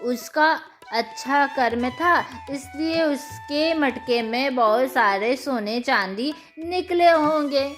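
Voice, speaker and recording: A woman acting a slow, kindly old woman. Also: high voice. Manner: gentle, coaxing, addressing the listener as child. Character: kind, patient old village woman asking for help. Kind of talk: Hindi cartoon moral story acting. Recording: music behind the voice.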